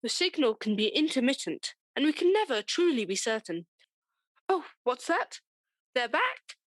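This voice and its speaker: A woman's voice: high voice, sing-song, moderate pace, no echo, poor audio. A woman playing a poised, mock-dramatic co-host in a scripted comedy history radio programme. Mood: apologetic.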